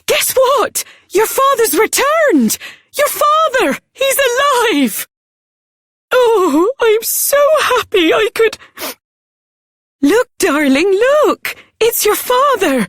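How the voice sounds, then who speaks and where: A woman's voice: very high voice, big pitch swings, takes it slowly, a bit bright, no echo, clean studio sound, exaggerated voice. An actress playing a loving, emotional mother in a fantasy game, with a tender, effusive delivery. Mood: overjoyed. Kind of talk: theatrical fantasy game voice acting.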